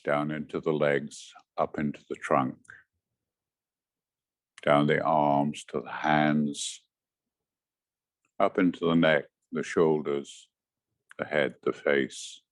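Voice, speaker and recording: A man leading a guided meditation as a teacher, giving gentle, measured instructions in short phrases with pauses. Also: extremely low pitch, flat delivery, no room sound, clean studio sound.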